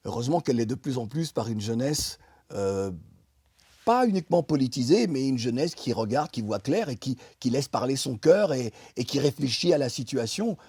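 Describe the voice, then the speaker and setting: A middle-aged man from France, a guest in an interview, speaking emphatically about politics with many pauses and restarts. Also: medium pitch, lively intonation, a little mellow, slightly rough, dry recording, studio quality. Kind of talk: impassioned political interview talk.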